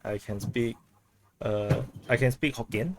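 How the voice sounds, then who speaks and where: A man in casual group chat on a low-quality backup recording, talking haltingly with many restarts while he explains things through comparisons. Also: background noise. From Southeast Asia. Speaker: chat participant. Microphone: video call.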